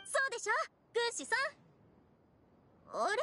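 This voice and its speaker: A woman's voice: very high voice, sing-song, measured pace, sharp tone, full character voice. A woman voicing a bubbly, polite young girl guide in an anime game, chatty and eager to explain. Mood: proud, excited. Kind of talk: Japanese anime game voice acting.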